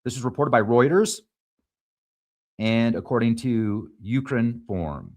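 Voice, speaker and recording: A man who hosts a show reads news stories aloud and adds quick asides. English is not his first language, and he sometimes stumbles over place names. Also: mid-range voice, lively intonation, darkish tone. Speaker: show host. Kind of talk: reading news headlines with commentary.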